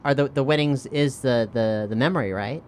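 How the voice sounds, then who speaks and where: Male tabletop player, medium pitch, casual and questioning, slight noise on the recording. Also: brisk, a little mellow. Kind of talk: casual in-game chat.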